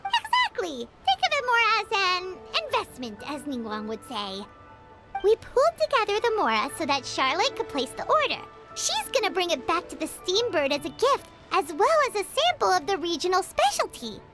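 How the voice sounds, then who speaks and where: A woman voicing a small, eager, chatty childlike companion in a video game, with a squeaky voice. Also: wildly swooping pitch, moderate pace, over loud music, heavily acted. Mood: reassuring, confident. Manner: bubbly, informal, eager.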